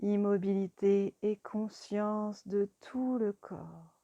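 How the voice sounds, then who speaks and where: A woman guiding a yoga relaxation meditation in a calm, soothing, deliberate manner. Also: mid-range voice, dry recording, lo-fi sound. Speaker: yoga nidra teacher.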